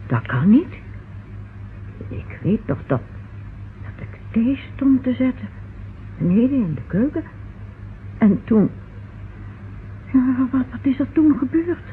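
An actress playing an anxious, drowsy older housewife in a radio play, speaking haltingly and repeating words. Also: female voice, high voice, very animated, heavily acted. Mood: alarmed. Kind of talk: old radio play acting. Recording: noisy, muffled phone-like old radio recording.

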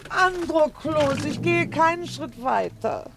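An actress playing a big, nagging, self-pitying wife in a theatrical radio play, scolding and indignant. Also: female voice, sits high, expressive, takes it slowly, vocal fry, background noise, heavily acted. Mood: weary, defiant. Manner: scolding, indignant, dramatic complaining.

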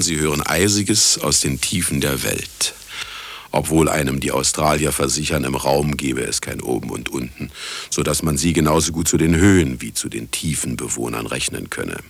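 A man's voice: extremely low pitch, creaky, a bit bright, full character voice. An actor playing a sardonic, grandiose radio broadcaster in a radio play, theatrical and mocking as he lectures his listeners. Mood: wry, teasing.

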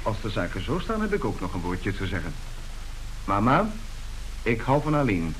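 Glib posh family son, swoopy animated voice, old hissy radio drama. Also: male voice, on the low side, moderate pace, poor audio. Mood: melodramatic. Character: breezy, opportunistic grown son of a wealthy family. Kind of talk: old Dutch radio play acting.

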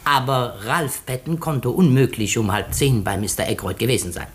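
An actor playing a shrewd, self-assured detective in a 1950s German radio mystery, deliberate, theatrical and teasingly courteous. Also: male voice, mid-range voice, natural voice. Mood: deliberate, dramatic.

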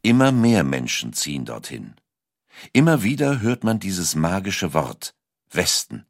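Very deep male storyteller, expressive delivery. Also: unhurried, no echo, clean studio sound. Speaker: audiobook narrator. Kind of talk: scripted documentary storytelling narration.

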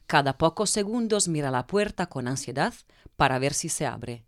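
A woman's voice: sits low, expressive, normal speed, no echo, studio quality. A woman from narrating a scripted audio drama in a wry, knowing way.

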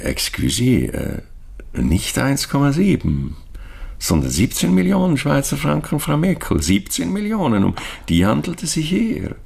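An actor playing a courteous, discreet, middle-aged bank adviser in a radio crime drama, speaking formally and obligingly. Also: male voice, medium pitch, wildly swooping pitch, unhurried, gravelly, a little mellow, husky, exaggerated voice. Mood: hesitant, correcting. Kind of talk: German radio drama acting.

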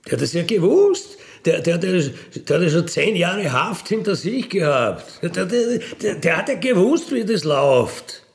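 A man with a gravelly voice, recounting in a blunt, matter-of-fact, colloquial way with emphatic tag questions. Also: high voice, very animated, normal speed, hardly any pauses. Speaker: ex-convict telling his experiences. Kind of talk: colloquial spoken monologue, recounting.

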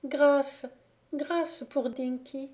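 A woman's voice: high-pitched, fairly even intonation, extremely slow, a little mellow, pitched above the performer's own voice. A woman voicing a feverish, delirious young boy who pleads and rambles in a storybook reading. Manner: raised, breathless, pleading delivery.

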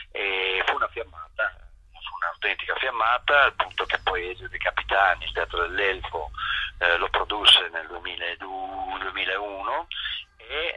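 A male theatre author and director recounting past events in a radio interview, in a measured way with hesitant pauses. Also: low voice, pushed and bright, breathy edge, no room sound, poor audio.

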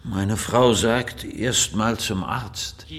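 A man's voice: fairly low pitch, drawn-out words, noisy background. An actor playing an earnest, wistful art historian in a radio drama, speaking hesitantly and repeating his words. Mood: subdued. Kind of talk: conversational radio drama acting.

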